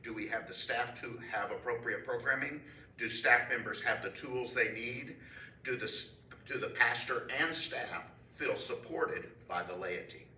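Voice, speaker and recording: A man reading out a committee statement at a church council meeting, upbeat, with pauses between phrases. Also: mid-range voice, bright, forceful tone. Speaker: committee member giving a report. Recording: echoey room, mic far off, faint noise.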